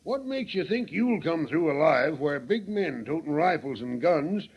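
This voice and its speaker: An actor playing a folksy, skeptical Old West sheriff with an American accent and a gravelly voice, in 1930s radio western style. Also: male voice, fairly high pitch, big pitch swings, a little slow, muffled recording, heavily acted. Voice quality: gravelly, husky. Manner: drawling rustic speech, skeptical.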